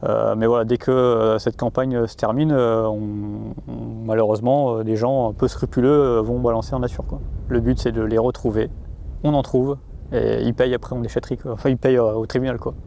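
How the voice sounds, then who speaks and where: A man speaks as a rural police officer in an interview. His delivery is hesitant, circling back on words, and his pitch is mid-range. Clear outdoor and vehicle noise sits behind his voice. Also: flat delivery, brisk, mellow. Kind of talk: interview answers, explaining his job.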